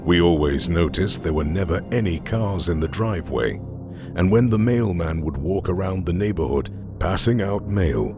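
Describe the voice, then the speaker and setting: A man reading scripted horror stories as a narrator, in a deliberate, suspenseful way with drawn-out phrase endings. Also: very deep voice, gravelly. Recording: music under the voice. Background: background music.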